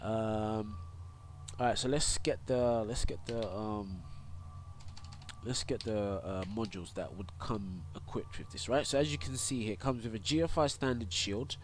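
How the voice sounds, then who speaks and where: Low-voiced guy w a cold, kinda clogged up, rambling game tutorial, lots of uh and um, bit of hiss. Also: fairly even intonation, measured pace. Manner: rambling, many fillers and restarts. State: congested from a cold. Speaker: gaming YouTuber and streamer. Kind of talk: casual game tutorial with screen recording.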